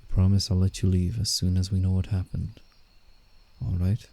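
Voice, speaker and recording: A man playing a guarded, teasing but soft-hearted werewolf in a scripted roleplay ASMR drama, speaking in a measured, reassuring way. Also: low voice, flat delivery. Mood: earnest, guarded. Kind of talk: roleplay ASMR, scripted character acting with inner monologue.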